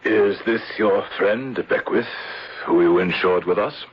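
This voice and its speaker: An actor playing a grave, determined investigator with a husky voice and measured, formal delivery in an old radio drama. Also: male voice, lowish voice. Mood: probing, stern. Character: grave, determined insurance investigator, also narrating. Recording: dull, lo-fi radio drama recording.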